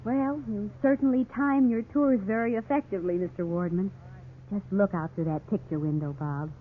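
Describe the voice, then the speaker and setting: A woman's voice: medium pitch, fairly even intonation, normal speed, lightly acted. An actress playing an eager, delighted young wife and mother in a 1950s radio drama, gushing with wide-eyed enthusiasm. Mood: mild, curious. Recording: tinny, hissy 1950s radio recording.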